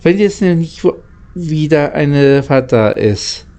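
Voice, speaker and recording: A man voicing an earnest, easily worried young boy traveller in a storybook, speaking haltingly. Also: fairly high pitch, expressive, warm tone. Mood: uncertain.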